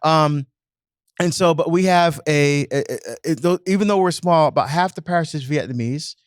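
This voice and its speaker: Priest guy chatting on a podcast, kinda high voice, repeats himself a lot, dry close sound. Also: normal speed, dry recording. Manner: storytelling, frequent restarts and repeated words. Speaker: parish priest. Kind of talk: casual podcast discussion.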